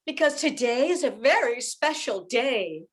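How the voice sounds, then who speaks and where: A woman's voice: a little high, expressive, unhurried. An older woman playing an anxious, tender young widowed mother in an old-time radio soap. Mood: warm, motherly.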